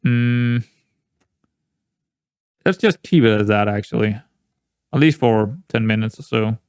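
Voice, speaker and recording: A man's voice: on the low side, a little slow, clean tone, dry recording, studio quality. Danish-accented man, chatty game commentary. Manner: rambling and chatty, with many restarts and sudden excited outbursts. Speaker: gaming YouTuber. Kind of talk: casual commentary while playing a football management game.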